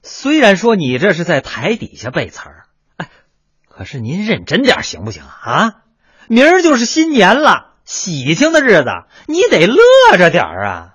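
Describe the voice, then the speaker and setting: Brief: fussing, fawning comic boss, Beijing accent, animated husky voice. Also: male voice, sits high, moderate pace, dry recording, clean studio sound, heavily acted. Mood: irritated. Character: harried male crosstalk comic and teahouse boss. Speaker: comedian. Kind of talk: radio crosstalk drama.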